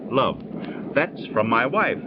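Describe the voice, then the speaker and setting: A man's voice: medium pitch, expressive, slow. An actor playing a good-natured, superstitious man of thirty in a 1930s radio drama, on a dull, noisy recording. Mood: affable, rueful. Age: 30s. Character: good-natured, superstitious thirty-year-old card player.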